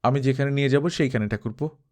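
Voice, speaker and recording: A man's voice: medium pitch, quick pace, darkish tone, close to an everyday voice. Man voicing a passionate, possessive married woman. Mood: urgent, commanding. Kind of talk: dramatised audiobook reading of a classic novel.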